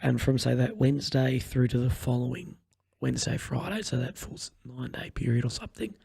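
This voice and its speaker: A young man with a croaky, crackly voice, hosting a podcast as casual, blunt chat full of swearing. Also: medium pitch, little pitch movement, a little fast, crowd noise. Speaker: podcast host.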